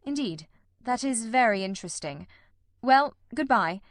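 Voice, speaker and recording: A woman voicing a grave, shrewd male detective in a storybook, speaking in precise, deliberate, formal sentences. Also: on the high side, expressive, no echo, clean studio sound. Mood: grave, cautionary.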